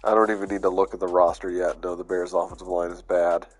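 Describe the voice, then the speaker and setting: A man's voice: low voice, level tone, fast talker, faint music behind. Stuffy-voiced man, laid-back game commentary. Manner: laid-back, thinking aloud with fillers. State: stuffy, under the weather. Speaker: gaming YouTuber. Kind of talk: casual gameplay commentary.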